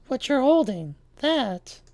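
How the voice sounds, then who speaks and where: Man putting on an eerie high-voiced woman. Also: very animated, slow pace, vocal fry, darkish tone, no echo, exaggerated voice. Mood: commanding. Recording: music behind the voice.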